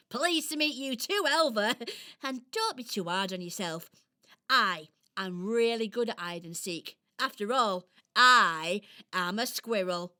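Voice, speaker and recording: A woman's voice: a little high, measured pace, bright, forceful tone. A woman voicing a playful, boastful female squirrel in a children's radio play, with a giggly, exuberant, theatrical manner. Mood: cheerful, boastful.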